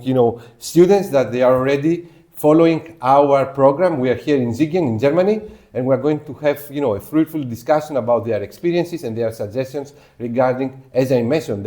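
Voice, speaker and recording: Mellow-voiced man hosting a formal panel. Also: mid-range voice. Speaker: podcast host, university professor. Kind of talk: moderated panel discussion.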